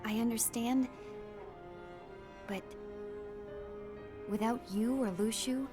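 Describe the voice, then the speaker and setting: A woman's voice: fairly high pitch, steady tone, slow. An actress playing a timid young woman in a game cutscene, hesitant and unsure. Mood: uneasy. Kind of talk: video game cutscene acting. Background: game score music.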